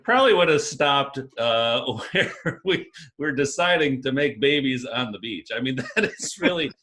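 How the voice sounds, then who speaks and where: A man's voice: medium pitch, animated, measured pace, bright, forceful tone. A man running improv comedy games over a video call, laughing often as he talks. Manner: laughs often while talking. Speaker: improv game leader. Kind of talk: casual comedy banter.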